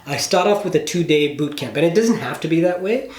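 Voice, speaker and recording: A man with a slightly high voice speaks in a roomy, echoing space. He coaches in a casual, conversational way, explaining with emphasis and tossing in little check-ins as he goes. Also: expressive, fairly quick, darkish tone. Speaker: business coach. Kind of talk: casual coaching phone call. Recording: roomy, echoey room sound.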